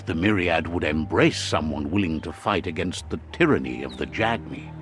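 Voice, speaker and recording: A middle-aged man narrates in a low, deep voice with a lot of rise and fall in his pitch. His delivery is measured and wise, like a scripted storyteller, with soft music behind him. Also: lively intonation.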